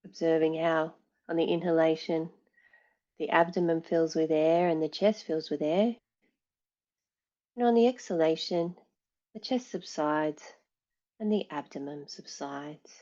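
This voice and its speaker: A woman teaching yoga nidra, guiding relaxation in a calm, soothing, measured way with long pauses. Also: deep voice, lo-fi sound. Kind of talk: guided relaxation, meditation instruction.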